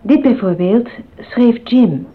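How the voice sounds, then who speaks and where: A woman's voice: fairly high pitch, lively intonation, takes it slowly. A woman narrating a scripted documentary drama in a solemn style. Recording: narrow phone-like old radio sound.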